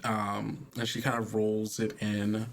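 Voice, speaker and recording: A man narrating a tabletop game as game master, casual and halting as he searches for words. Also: fairly low pitch, monotone, vocal fry.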